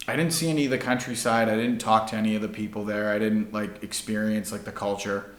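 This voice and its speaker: A man's voice: lowish voice, fairly even intonation, quick pace, reverberant. A male podcast host chatting in a laid-back way, saying "like" a lot. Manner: laid-back, heavy use of "like". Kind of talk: casual chat.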